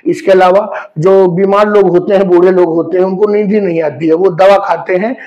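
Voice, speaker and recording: A man's voice: high voice, fairly even intonation, moderate pace, dull audio. A man presenting a current-affairs explainer as an educator, going step by step with everyday examples.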